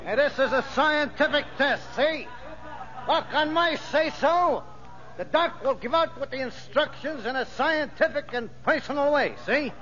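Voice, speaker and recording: A man's voice: extremely high pitch, measured pace, pushed and bright, some chatter behind, exaggerated voice. A male actor playing a brash, bossy convict who bullies in slangy, mock-formal speech in a 1950s radio drama. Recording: muffled, noisy old radio broadcast.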